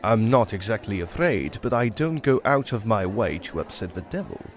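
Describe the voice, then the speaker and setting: An actor playing a superstitious country villager with folksy rural speech and dry wit, in an old-time radio drama. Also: male voice, lowish voice, big pitch swings, moderate pace, a little hiss or hum. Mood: wary.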